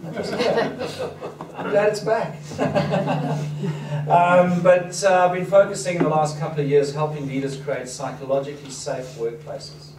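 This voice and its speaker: A man's voice: high-pitched, fairly even intonation, a little slow, over a noisy crowd. Man with a slight foreign accent, roomy hall. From Africa. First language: Danish. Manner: chatty, self-deprecating, with fillers. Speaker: seminar speaker. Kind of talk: public talk to an audience. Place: event hall with audience. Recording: roomy and distant, noisy hall.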